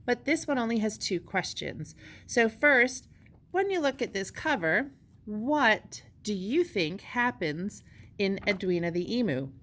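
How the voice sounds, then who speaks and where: A woman teacher giving step-by-step instructions and reading a storybook aloud expressively to young pupils. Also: medium pitch. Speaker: elementary school teacher.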